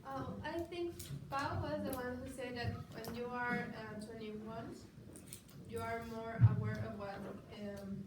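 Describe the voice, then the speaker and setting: A nineteen-year-old woman with a Mexican accent, a native Spanish speaker, giving a halting debate rebuttal in English. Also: fairly high pitch, fairly even intonation. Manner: halting, searching for words in English. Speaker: student debater. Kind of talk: spoken rebuttal in a classroom debate. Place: classroom. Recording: echoey, noisy room, mic far away.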